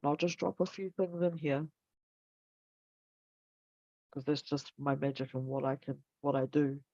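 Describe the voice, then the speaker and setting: A woman's voice: low-pitched, steady tone, a little slow, darkish tone, no echo, lo-fi sound. A woman from New Zealand hosting a casual online talk, meandering and searching for her words, then guiding a breathing session. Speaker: host.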